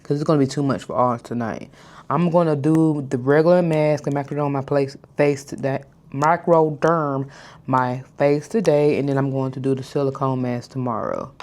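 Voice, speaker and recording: A woman in her thirties chatting casually to her followers in a skincare vlog. She stutters at times, mispronounces some words, and slows her speech down on purpose. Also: a bass voice, darkish tone. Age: 30s. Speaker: vlogger. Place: hotel room, indoors.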